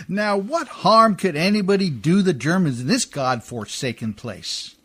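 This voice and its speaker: A man acts out an uncouth but good-natured riverboat pilot in an old-style radio play. His voice sits very high for a man, and he talks in a folksy, ungrammatical, chuckling way. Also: sing-song, a little slow, a bit bright, husky, heavily acted. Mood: casual, unconcerned.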